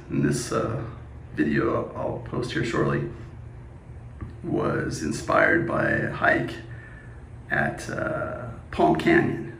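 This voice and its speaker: A man in his forties, a spoken word poet, chatting casually and reciting rhymes on a hiking trail, relaxed and upbeat. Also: fairly low pitch, creaky, reverberant, slight background noise. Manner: upbeat, laid-back, laughs easily. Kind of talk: casual vlog chat and rhyming poetry.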